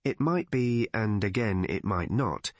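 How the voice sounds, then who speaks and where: A man's voice: on the low side, expressive, a little slow, dry recording. Man voicing a cautious, deferential lady's maid. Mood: unsure, reluctant. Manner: respectful, hesitant, plain-spoken.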